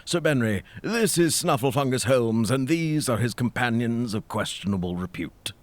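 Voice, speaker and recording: A man playing an earnest, fearful doctor in a comedic audio drama, speaking in a halting, solemn, melodramatic way. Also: medium pitch, animated, dry recording, lightly acted.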